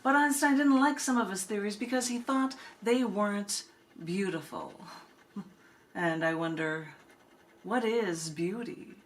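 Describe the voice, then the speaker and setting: A woman reading spoken-word poetry in measured, deliberate phrases with dramatic pauses. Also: mid-range voice, slight background noise. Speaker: poet reading own work, host of the reading.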